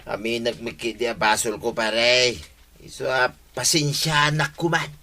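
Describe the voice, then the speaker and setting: A man's voice: medium pitch, little pitch movement, moderate pace, sharp tone, a little hiss or hum. A man with an Ilocano accent acting as an apologetic, hard-drinking village man in a radio comedy drama. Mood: pleading, sheepish.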